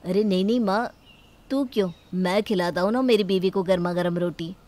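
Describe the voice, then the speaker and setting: A woman's voice: medium pitch, moderate pace, darkish tone, natural voice. Woman putting on a two-faced young husband. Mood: sly. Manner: showily dutiful, complaining. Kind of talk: acted Hindi moral-story dialogue.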